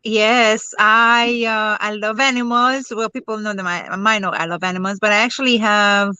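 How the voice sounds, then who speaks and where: A woman's voice: medium pitch, fairly even intonation, moderate pace, pushed and bright, clear, resonant tone, no room sound. A woman from Romania, a guest in an interview, chatty with many fillers. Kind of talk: interview conversation. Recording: dry close sound with printer noise behind.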